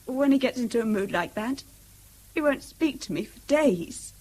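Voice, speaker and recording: A woman's voice: fairly high pitch, lively intonation, normal speed, a little mellow. An actress playing an earnest, troubled English schoolgirl in a British radio drama, hesitant, polite and confiding. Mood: worried, confiding. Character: earnest, troubled teenage schoolgirl, loyal and anxious.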